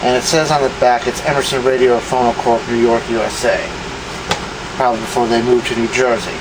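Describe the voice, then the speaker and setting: A man's voice: steady tone, a little fast. Offhand man with noisy background hum. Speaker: video host showing vintage electronics. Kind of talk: casual unscripted demonstration. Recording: clear background hum and rumble noise.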